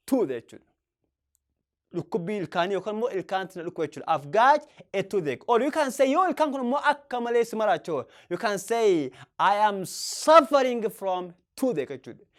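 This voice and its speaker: Woman, language teacher, slow stressed example phrases, mid pitch. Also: sing-song. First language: Oromo. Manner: switches languages, reads English examples very slowly with stress. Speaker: English teacher. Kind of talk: language lesson, reading example phrases slowly.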